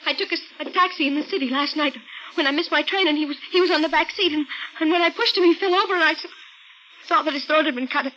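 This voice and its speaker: A woman's voice: sits high, fast, pushed and bright. An actress playing a frightened, shaky young woman in a 1950s radio mystery, stammering and repeating herself. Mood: distraught, insistent. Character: frightened, shaky young woman insisting she saw a corpse. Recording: noisy, muffled old radio recording.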